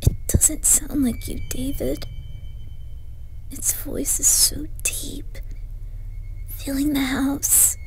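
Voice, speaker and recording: A woman playing a terrified young woman trapped at home, intense, pleading and often hushed, in a horror story. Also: sits high, flat delivery, drawn-out words, long pauses, whispered, full character voice, rough recording. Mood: whispering, terrified. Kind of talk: dramatised horror story reading.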